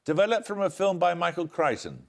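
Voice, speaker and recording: An older man runs a studio quiz show with a lively, rising and falling delivery. His voice sits a little high for a man, and he reads out questions in a crisp, brisk way. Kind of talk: scripted quiz hosting. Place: television studio.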